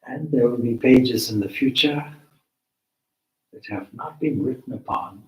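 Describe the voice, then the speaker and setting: Male meditation guide: lowish pitch, very unhurried, deliberate delivery with long pauses, recorded in an echoing room. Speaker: meditation teacher, swami. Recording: roomy, echoing space.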